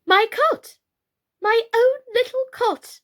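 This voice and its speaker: A woman voicing a tiny, eager little boy doll in a children's storybook, excitable in manner. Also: very high-pitched, slow pace, pitched above the performer's own voice. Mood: eager wishing.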